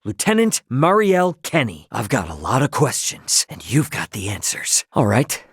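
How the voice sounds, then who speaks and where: A man's voice: medium pitch, huge pitch swings, moderate pace, breathy edge, dry recording, studio quality, full character voice. An actor playing a brash, informal young starship captain, a cocky but loyal man, in a video game. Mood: reassuring, confident. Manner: informal, slangy, plain-spoken. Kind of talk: English game dub character dialogue.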